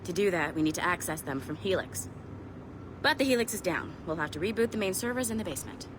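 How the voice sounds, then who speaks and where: A woman's voice: lowish voice, lively intonation, fast, lightly acted. A woman playing a cheeky tech-security contractor in a video game, talking in a casual, wry, teasing way. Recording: clear background noise.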